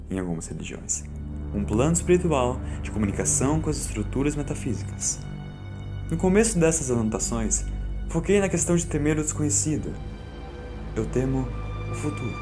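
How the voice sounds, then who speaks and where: Brooding man of science read by a male narrator: solemn first-person audiobook, deliberate, uneasy, music underneath. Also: medium pitch, close to an everyday voice. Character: Doctor Carlos, fearful, reflective man of science recounting events.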